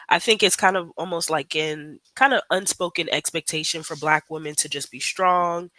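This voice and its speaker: A young woman in her twenties talks through her thoughts as a podcast guest. She is reflective and hedges often. Her voice is bright and a bit tense, recorded dry and close. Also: deep voice, dry recording. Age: 20s. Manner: reflective, thoughtful, with frequent hedging fillers. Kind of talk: casual conversational interview.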